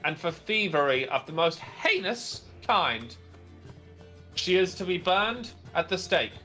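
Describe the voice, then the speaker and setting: A man doing a tall, pompous noblewoman in a tabletop game. She speaks high and proud, looks down on everyone, and declaims her verdicts in a bright, forceful voice. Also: sing-song, a little slow, soft background music, full character voice. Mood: declaiming. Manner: haughty, declaiming, high put-on voice. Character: pompous tall noblewoman claiming lordship, haughty and scornful.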